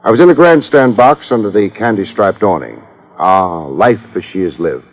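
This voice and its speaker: A man's voice: lowish voice, lively intonation, moderate pace. An actor playing a wry, hard-boiled male private investigator who narrates in slangy 1950s radio drama style. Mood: wry narration. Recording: dull old radio transcription with music.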